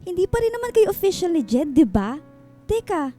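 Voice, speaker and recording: A sassy, high-voiced best friend. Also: female voice, big pitch swings, a little fast, warm tone, faint music behind. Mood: teasing. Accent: Filipino. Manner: sassy, playful, outspoken. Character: blunt, teasing, supportive female best friend. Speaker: voice actor in a radio drama. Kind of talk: Filipino radio drama acting.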